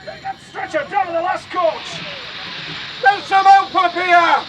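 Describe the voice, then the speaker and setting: An actor playing an urgent rescue worker calling out orders in a radio drama. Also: male voice, very high-pitched, fairly even intonation, a little slow. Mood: urgent, commanding. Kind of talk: BBC radio drama acting. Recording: noisy crash scene, distant voice.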